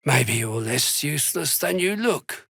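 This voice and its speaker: A gruff dark dwarf slave hunter from a video game, with a gritty, forceful voice that sits a bit high. He is a curt, fanatical cultist who threatens and sneers in a slow, deliberate way. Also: big pitch swings, no echo, studio quality, full character voice. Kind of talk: video game character voice lines.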